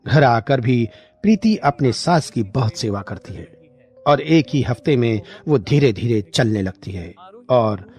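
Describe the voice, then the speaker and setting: A man's voice: mid-range voice, lively intonation, unhurried, darkish tone. A man reading scripted narration for a moral story. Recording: music bed behind the voice.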